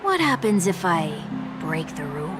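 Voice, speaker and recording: A woman's voice: lowish voice, big pitch swings, moderate pace, music in the background. An actress playing a confident, teasing woman in a video game, with a cool, playful and assured delivery. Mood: breezy, daring. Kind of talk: scripted video game voice acting.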